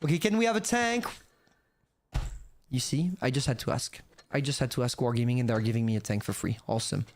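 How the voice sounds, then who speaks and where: A man's voice: medium pitch, a little fast, darkish tone. A young man from France with a French accent, streaming gameplay and chatting casually with viewers, rambling and talking to himself. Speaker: gaming streamer. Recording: noisy, glitchy mic cutting out.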